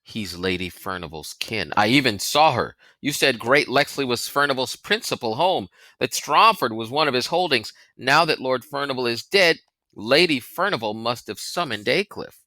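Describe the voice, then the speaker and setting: A man's voice: mid-range voice, lively intonation, normal speed, pushed and bright, dry recording, voice pushed up. A man voicing a frightened, earnest young boy in an audiobook, anxious and pleading. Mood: frightened rush. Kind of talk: audiobook character voice.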